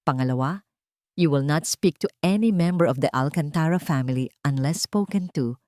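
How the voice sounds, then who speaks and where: A woman voicing a stern, cold head nurse in her fifties for a narrated story, speaking firmly and without warmth. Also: low voice, normal speed, no room sound, clean studio sound, close to an everyday voice. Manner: cold, firm, commanding, no warmth.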